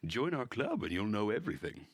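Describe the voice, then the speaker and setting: Man doing an eager, higher-pitched nerdy club boy. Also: very animated, fairly quick, breathy edge, no echo. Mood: eager, friendly. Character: eager, sly young male electronics club nerd.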